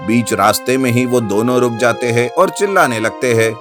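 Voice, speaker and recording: A man narrating an animated children's story from a script. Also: mid-range voice, moderate pace. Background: background music.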